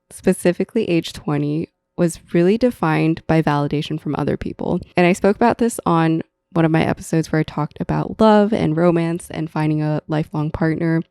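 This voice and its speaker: A woman's voice: low-pitched, creaky, warm tone, clear, resonant tone, dry recording. A woman in her twenties hosting a casual solo podcast, chatty and rambling, laughing often. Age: 20s. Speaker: podcast host.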